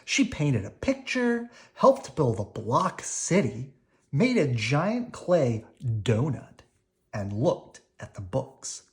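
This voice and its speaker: A woman reading a children's storybook aloud in an animated, unhurried way for young listeners. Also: a bass voice. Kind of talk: expressive read-aloud storytelling.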